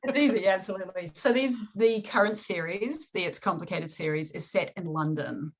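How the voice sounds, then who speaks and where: A woman with a New Zealand accent talks in a loose, chatty way, often laughing and restarting sentences. Her voice sits a little low and has a gravelly fry to it. She sounds like a guest on a remote interview call. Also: normal speed, rough recording. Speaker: author guest. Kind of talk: casual interview chat.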